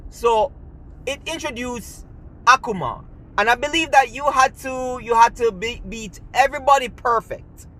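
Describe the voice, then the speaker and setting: High-voiced Jamaican man ranting passionately. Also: moderate pace, sharp tone, rough recording. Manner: passionate, heated, rambling with restarts. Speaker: gaming channel host. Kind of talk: casual ranting commentary to camera.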